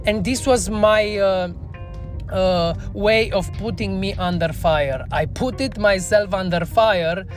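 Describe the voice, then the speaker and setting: A woman's voice: mid-range voice, takes it slowly, soft background music. A woman with a Romanian accent, a native Romanian speaker talking in English, telling a candid, self-reflective story as a podcast guest. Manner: earnest, self-reflective, non-native phrasing. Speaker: bar trainer, podcast guest.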